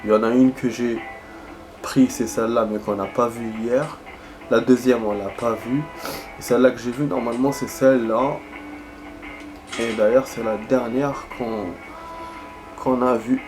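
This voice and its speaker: A stuffy-nosed man with a slight lilt rambles through his gameplay commentary in a chatty, meandering way, talking to viewers like friends. Game music plays clearly under his mid-pitched voice. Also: fairly even intonation, a little slow, long pauses. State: congested with a head cold. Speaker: gaming video host.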